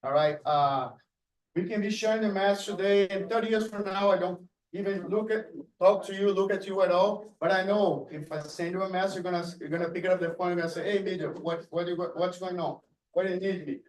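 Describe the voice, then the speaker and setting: Coach talking in an echoey gym. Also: male voice, sits high, fairly even intonation, brisk, far from the mic. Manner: heartfelt, acts out quotes with a rising voice. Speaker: jiu-jitsu coach. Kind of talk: speech at a belt promotion. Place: martial arts gym.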